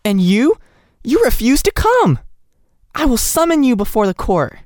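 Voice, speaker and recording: Frantic young wife, high and pleading, close dry sound, read by a woman. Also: animated, unhurried, dark tone, no echo. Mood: desperate pleading. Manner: sobbing, begging, insistent.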